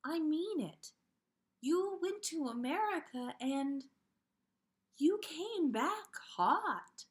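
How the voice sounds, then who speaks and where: A woman acts out a dreamy teenage girl in a read-aloud story. She is wistful yet sure of herself, speaking high and at an unhurried pace. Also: expressive, heavily acted. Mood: wistful, earnest. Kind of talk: character dialogue in a story reading.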